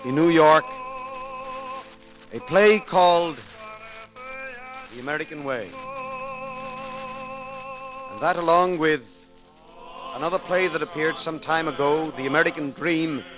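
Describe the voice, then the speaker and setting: A man delivering a solemn, oratorical eulogy in formal measured phrases on a 1930s radio recording. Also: fairly high pitch, low-quality recording. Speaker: eulogist at a memorial service. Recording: 1939 radio recording with faint music.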